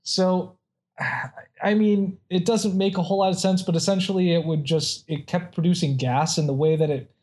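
A man's voice: sits high, steady tone, normal speed, darkish tone. A man casually chatting and reading out odd facts, rambling with many restarts and frequent laughs.

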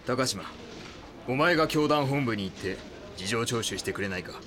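A man acting as a police chief in a crime radio play, with faint background noise. His medium-pitched voice is formal, cautious and commanding. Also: animated, moderate pace, a bit bright, natural voice. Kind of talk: audio drama acting.

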